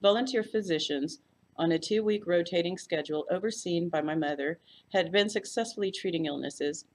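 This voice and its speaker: A woman reading a first-person mystery story aloud as a volunteer narrator. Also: on the low side. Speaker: volunteer audiobook reader.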